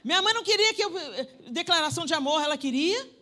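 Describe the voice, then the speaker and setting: A woman preaching a sermon in a church, talking to the audience directly and swinging between loud, emphatic declarations and soft asides. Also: extremely high pitch, animated, quick pace. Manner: conversational, addresses the audience directly. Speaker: preacher at a women's conference. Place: church sanctuary.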